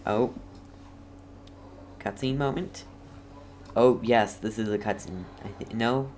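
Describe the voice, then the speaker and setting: A woman's voice: rumbling low, slow, darkish tone. A woman game streamer giving casual, reactive commentary as she plays.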